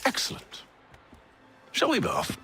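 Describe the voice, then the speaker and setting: A man's voice: measured pace, bright, forceful tone, soft background music. A man with a British accent playing a haughty, sardonic middle-aged Templar master in a game, with a pompous, theatrical manner. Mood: wry, commanding. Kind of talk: dramatic video game cutscene acting.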